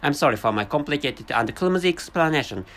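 Man, Japanese accent, non-native speaker; hesitant solo monologue, animated pitch. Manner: halting, searching for words, self-correcting. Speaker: vlogger. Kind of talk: unscripted monologue in a second language.

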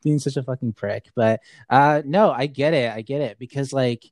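A man's voice: mid-range voice, normal speed, darkish tone, no room sound, clean studio sound. A young man from Saudi Arabia, a podcast guest chatting casually, rambling and laughing a lot. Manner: rambling, heavy on filler words, laughs often. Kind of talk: casual chat.

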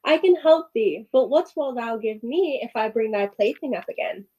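Talking storybook frog, played by a woman: high-pitched, mellow, insistent, slightly creepy, old-fashioned bargainer. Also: lively intonation, moderate pace, breathy edge, rough recording. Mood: calm, bargaining. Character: odd, bargaining talking frog from a fairy tale. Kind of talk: storybook read-aloud with old-fashioned dialogue.

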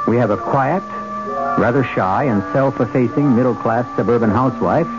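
A man narrating as the host of a radio drama, theatrical, deliberate and wry. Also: mid-range voice. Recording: muffled radio recording under loud music.

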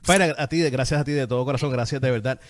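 A man's voice: mid-range voice, very fast. A man hosting an interview show in a conversational, effusive way, repeating phrases for emphasis.

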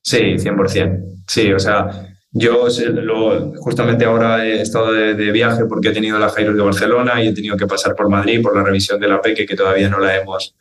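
A man in his twenties, born in Argentina, answering interview questions as a fitness trainer in a relaxed, rambling way. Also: lowish voice, a little fast. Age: 20s. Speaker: guest, trainer. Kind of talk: casual interview talk.

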